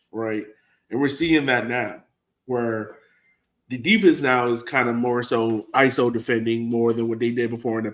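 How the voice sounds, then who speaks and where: Casual American man talking soccer. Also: mid-range voice. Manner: informal, slangy. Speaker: soccer podcast co-host. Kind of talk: casual sports chat, match breakdown.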